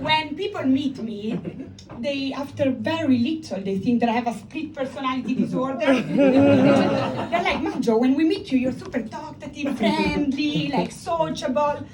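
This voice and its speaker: A woman's voice: high voice, measured pace, heavy background noise. A young woman from Italy with an Italian accent, doing a chatty, profane open mic stand-up set in a small comedy club, talking straight to the crowd. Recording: loud crowd laughter, voice from a distance.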